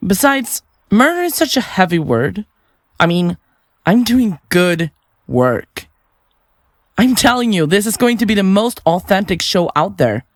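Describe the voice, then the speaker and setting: A chirpy, remorseless woman who kills for her podcast. Her delivery is very animated and theatrical, sliding up and down with blithe self-regard. It is audio drama acting in a dry recording space. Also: medium pitch, measured pace, no room sound. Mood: gleeful, self-assured. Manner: blithe, chatty, flippant, theatrical. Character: chirpy, self-absorbed female podcaster and remorseless serial killer. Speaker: suspect under interrogation.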